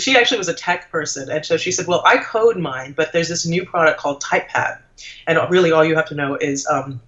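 A woman's voice: on the low side, fairly bright tone. A woman from Trinidad, a lawyer turned blogger, chatting reflectively as an interview guest and thinking aloud as she goes. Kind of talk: casual conversational interview. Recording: dull lo-fi audio.

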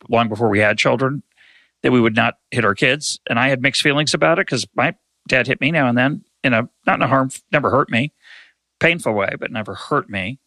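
A man's voice: medium pitch, vocal fry, bright, forceful tone, no room sound. An older man hosting a talk show, speaking thoughtfully in a reflective conversation and often restarting his sentences. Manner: thoughtful, hesitant with repeated restarts. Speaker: podcast host.

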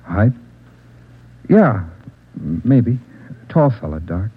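A man's voice: on the low side, very animated, very slow, slightly rough, exaggerated voice. An actor playing a nervous male drug suspect who stammers, dodges questions and repeats them back, in 1950s radio drama style. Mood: nervous evasive. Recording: dull old radio recording.

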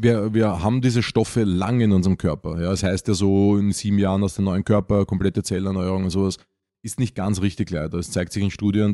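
A man's voice: sits low, mellow, dry recording, clean studio sound. A man with an Austrian accent co-hosting a podcast, explaining things informally and colloquially, often restarting his sentences as he goes. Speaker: podcast co-host.

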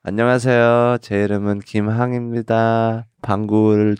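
A young man talking in casual chat, deadpan and halting, with pauses and fillers. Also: lowish voice, clear, resonant tone, no room sound. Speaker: comedian guest.

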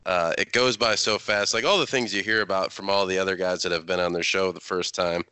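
A man's voice: sits low, steady tone, a little fast, sharp tone, clear, resonant tone, no room sound. A man who is a little under the weather, chatting casually about sports as a podcast co-host.

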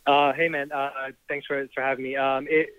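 A man in his thirties from Trinidad and Tobago, a startup founder telling his story in a relaxed interview, with lots of ums and restarts. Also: mid-range voice, normal speed, clean tone, no room sound. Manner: relaxed, laughs often, many ums and restarts. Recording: dry, tinny phone-like remote line.

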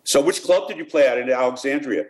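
Male podcast host, mid-pitched, unhurried and full of "uh"s while he interviews. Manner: halting, many "uh" fillers and restarts.

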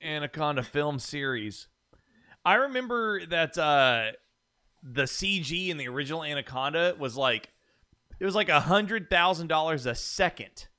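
A male podcast host in relaxed conversation. His voice sits a little high for a man and has a bright, forward edge as he banters casually. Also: moderate pace, smooth.

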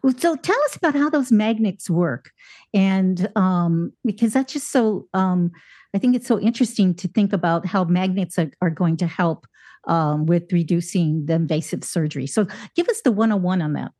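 A woman's voice: on the low side, lively intonation, normal speed, warm tone, no room sound, studio quality. A woman hosting a health podcast, asking a guest questions with frequent fillers and hesitations. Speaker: podcast host. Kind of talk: scripted intro, then interview questions.

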